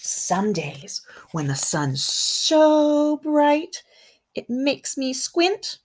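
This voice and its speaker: A woman kindergarten teacher reading a picture book aloud to young children in an expressive way, with simple asides and questions. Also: sits high. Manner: animated, simple wording, pauses to comment and question.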